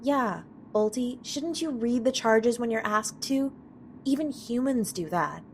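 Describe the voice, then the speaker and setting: A woman's voice: a little high, a little slow, a little hiss or hum. A woman playing an impassioned, devoted magical best friend in a fantasy comedy audio drama, with an emotional, pleading manner. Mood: indignant.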